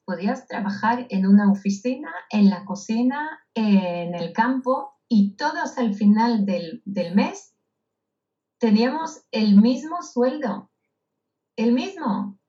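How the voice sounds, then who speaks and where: A woman from Israel, a guest in a casual interview, speaking slowly and thoughtfully with many hesitations. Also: medium pitch, mellow. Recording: dull lo-fi audio.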